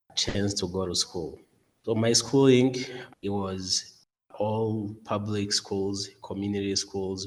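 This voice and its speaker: Young guy from rwanda on a video call, low voice, talks slow and earnest, lots of uhs. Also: low-quality recording. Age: 20s. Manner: earnest, many uh fillers and restarts. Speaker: guest. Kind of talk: podcast interview. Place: office.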